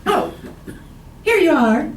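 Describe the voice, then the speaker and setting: An actress playing a romantic but nagging, easily wounded wife in old-time radio comedy, chattering and exasperated. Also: female voice, high-pitched, sing-song, takes it slowly, recorded from a distance, exaggerated voice. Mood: chatty. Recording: roomy, noisy old radio, off mic.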